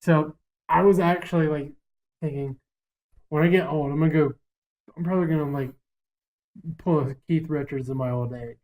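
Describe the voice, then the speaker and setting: A man's voice: on the high side, steady tone, normal speed, long pauses, darkish tone, poor audio. A man co-hosting a podcast in casual chat, brash, profane and joking. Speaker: podcast co-host.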